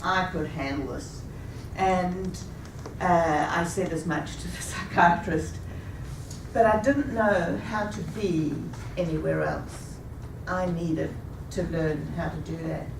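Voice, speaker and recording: A woman in her fifties telling a rehearsed personal story with a measured, deliberate delivery. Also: fairly low pitch, noisy background. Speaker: speaker. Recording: echoey room, taken from a distance.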